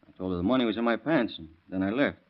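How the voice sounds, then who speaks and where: A hot-tempered young father played by a man from an old radio crime drama. His voice is fairly low, blunt and defensive, quick to get excited. The recording is dull, vintage and lo-fi. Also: natural voice. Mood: blunt, grumbling.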